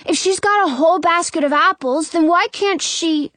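A woman's voice: extremely high pitch, normal speed, fairly bright tone, no room sound, voice pushed up. A woman voicing a cheeky little brother in a storybook, whiny, blunt and insistent. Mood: indignant.

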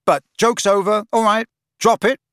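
A man's voice: fairly high pitch, big pitch swings, a little slow, a bit bright, no echo, studio quality, voice pushed up. A man voicing a sensible, exasperated teenage boy in an audiobook, matter-of-fact and impatient. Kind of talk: audiobook character voice.

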